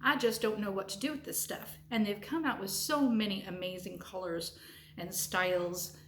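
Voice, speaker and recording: A chatty, upbeat woman talks through a crochet tutorial, her voice a bit sniffly and stuffy. Her pitch sits in an ordinary middle range. The room around her is noticeably echoey. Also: background noise. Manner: chatty, enthusiastic, friendly.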